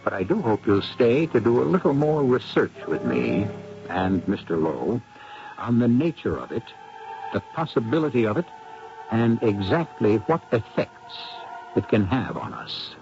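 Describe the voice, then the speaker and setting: A man hosting and narrating a radio mystery in a brooding, deliberate, dramatic scripted style. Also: on the low side, very animated, vocal fry. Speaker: host and narrator. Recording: muffled old radio recording. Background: music.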